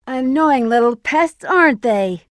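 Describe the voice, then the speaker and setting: A woman's voice: sits high, animated, unhurried, no room sound, studio quality. A woman voicing a sly, playful bat treasure hunter in a video game, teasing and breezy.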